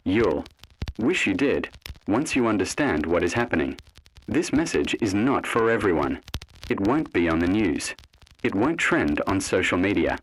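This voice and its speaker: A man narrating a scripted analog horror story in an ominous, solemn, deliberate way with dramatic pauses. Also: fairly low pitch, sing-song, a little hiss or hum, poor audio.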